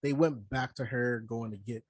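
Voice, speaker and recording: A man's voice: medium pitch, normal speed, no room sound. A man co-hosting a casual review podcast, speaking bluntly in slang with plenty of swearing. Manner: blunt, profane, slangy griping. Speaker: podcast co-host reviewing a film. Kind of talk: casual chat.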